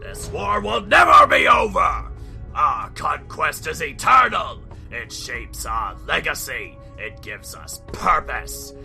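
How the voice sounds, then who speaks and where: A man voicing a pompous, war-hungry alien emperor in a comic drama, booming, menacing and theatrical. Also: huge pitch swings, takes it slowly, gravelly, pushed and bright, raised voice, exaggerated voice. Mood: fervent. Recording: music behind.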